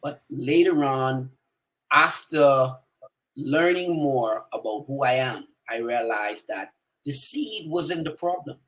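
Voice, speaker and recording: Male guest, mid-pitched voice, steady, affirming. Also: takes it slowly, low-quality recording. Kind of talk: casual conversation.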